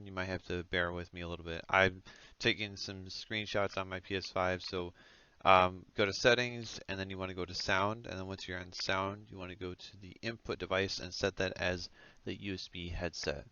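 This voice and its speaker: Male tutorial presenter, informal and halting, bright crisp delivery on a close, lo-fi headset. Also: sits low, steady tone, dry recording. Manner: informal, hesitant step-by-step explaining. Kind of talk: casual software tutorial narration. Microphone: close headset microphone. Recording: dull, lo-fi headset audio.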